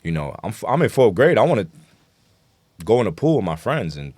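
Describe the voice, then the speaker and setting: A man's voice: on the low side, very animated, fairly quick, a little mellow, dry recording. A man with a New York accent chatting casually as an interview guest, telling stories emphatically and with lots of slang. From New York City. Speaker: guest rapper.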